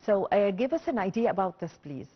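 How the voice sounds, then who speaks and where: A slightly dull recording of a woman. She hosts a formal interview in a mid-pitched voice, feeling her way through each sentence with many hesitations. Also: sing-song, breathy edge. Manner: very hesitant, halting delivery. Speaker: broadcast interviewer, host.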